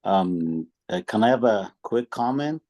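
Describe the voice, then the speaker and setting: A man whose first language is Farsi speaks up during a study session. His voice is somewhat low, and his delivery is earnest but halting. He leans heavily on ums and "you know" as he works through his point. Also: expressive, warm tone. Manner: earnest, hesitant, full of ums and you-knows. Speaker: participant commenting in a study session.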